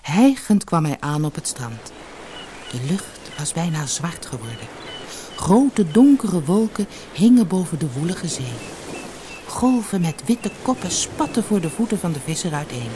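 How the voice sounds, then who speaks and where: A woman's voice: on the low side, big pitch swings, unhurried, background noise. A woman reading a scripted fairy tale as an audiobook narrator.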